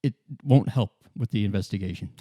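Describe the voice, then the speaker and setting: A man voicing a calm, methodical male police detective in a tabletop roleplay, dry and matter-of-fact. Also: fairly low pitch, brisk, no echo, lightly acted. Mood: dry, dismissive.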